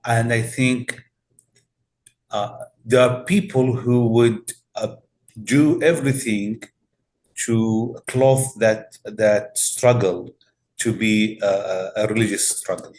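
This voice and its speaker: A male pastor from Palestine with a Palestinian Arabic accent, answering interview questions in a measured, deliberate way with many pauses and fillers. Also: lowish voice, darkish tone, poor audio.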